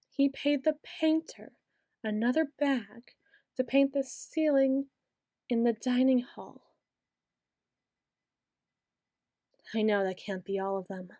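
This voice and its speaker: Female performer as a young queen, soft-spoken and bitter, unhurried, for an ASMR roleplay, close mic, no room echo. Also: fairly high pitch, lightly acted. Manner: soft-spoken, slow and musing, turning bitter. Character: brooding young queen, bitter toward her late father.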